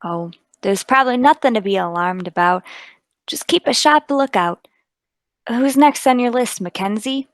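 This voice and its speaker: A woman voicing a brisk, calm, businesslike police inspector in a radio drama. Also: fairly high pitch, dry recording, natural voice. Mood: calm, official. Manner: businesslike, clipped.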